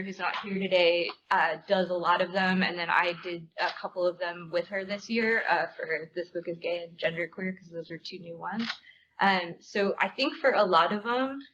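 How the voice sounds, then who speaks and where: A woman's voice: fairly low pitch, normal speed, bright, forceful tone. A woman answering audience questions casually as a theater performer on a panel, chatty and informal, often saying "like". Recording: dull room recording with clear background noise.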